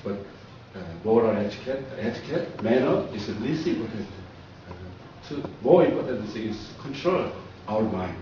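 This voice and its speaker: A man with a Korean accent, a professor lecturing haltingly in short phrases of non-native English. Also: lowish voice, expressive. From South Korea. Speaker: professor, co-presenter. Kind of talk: public lecture, answering questions. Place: echoey indoor lecture room. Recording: very echoey room, distant muffled mic, noise.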